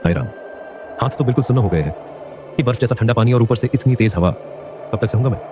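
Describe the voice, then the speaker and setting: An actor voicing a poor young laborer in an animated story, earnest and pleading. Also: male voice, medium pitch, fast, music in the background, close to an everyday voice. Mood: shivering.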